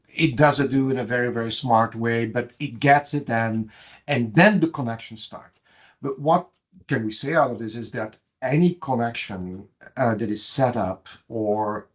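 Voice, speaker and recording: A middle-aged man from Belgium with a Flemish accent, a guest expert on a podcast, explaining step by step with frequent fillers and restarts. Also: mid-range voice. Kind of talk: podcast interview.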